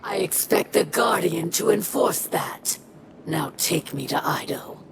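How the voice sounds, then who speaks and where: A woman playing a proud, defiant alien pirate captain in a video game, measured and commanding. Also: fairly low pitch, animated, very noisy. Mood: proud, guarded.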